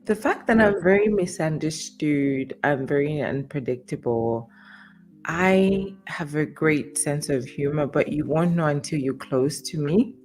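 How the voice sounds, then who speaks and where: A young adult woman co-hosting a casual talk show, light and playful, quick to laugh. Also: low voice, a little mellow, soft background music. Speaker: co-host. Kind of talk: casual chat.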